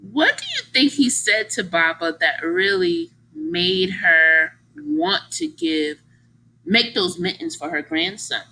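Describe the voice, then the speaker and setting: Female children's read-aloud teacher: slow, very expressive delivery with big swings in pitch, asks the listeners questions. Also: creaky, rough recording. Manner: animated, exaggerated emphasis, engaging listeners with questions.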